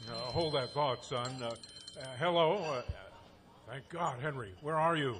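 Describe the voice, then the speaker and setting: A man's voice: mid-range voice, unhurried, noisy background, close to an everyday voice. A man playing a hesitant older scientist father in a live comic radio play. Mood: flustered. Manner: hesitant, stammering. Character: flustered elderly father, hesitant scientist doctor. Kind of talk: live comedic audio theatre parody. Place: stage, live performance.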